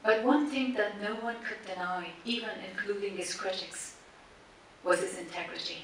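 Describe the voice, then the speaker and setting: A woman whose first language is Persian gives a measured, prepared memorial speech from a cinema stage, pausing deliberately between listed words. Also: medium pitch, a bit bright. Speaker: speaker introducing a tribute screening. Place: large cinema auditorium, standing on stage. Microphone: stand mic, recorded by a distant camera in the audience. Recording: echoey cinema from far off with faint hiss.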